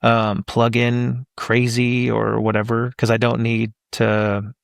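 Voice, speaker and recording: A man in his thirties chats casually as a podcast guest, circling his thoughts with fillers and restarts. His voice sits at an ordinary pitch with a gravelly edge, recorded dry and close. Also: completely flat delivery, takes it slowly, no echo. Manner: rambling, thinks aloud with many fillers. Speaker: voice actor guest.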